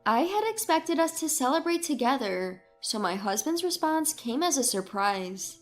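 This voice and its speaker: A woman's voice: high-pitched, big pitch swings, normal speed, soft background music. A woman reading a scripted first-person story as its narrator.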